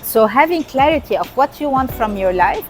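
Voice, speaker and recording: A woman's voice: high-pitched, expressive, brisk, faint music behind. A middle-aged woman from Lebanon, a guest entrepreneur and coach, speaking earnestly and repeating her key points in a conversational interview.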